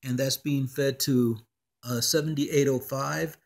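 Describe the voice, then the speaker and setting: Man, middle pitch, hobbyist presenter, halting explanatory delivery, off-the-cuff technical demonstration. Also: fairly even intonation, gravelly.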